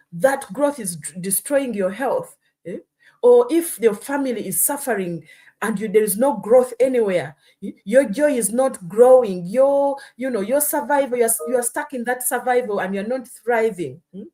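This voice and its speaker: A woman from Uganda hosts a live stream with a fairly high voice. She talks earnestly and keeps checking in with her listeners, scattering "you know" and "hmm?" through her points. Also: dark tone. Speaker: host. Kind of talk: casual live-stream talk.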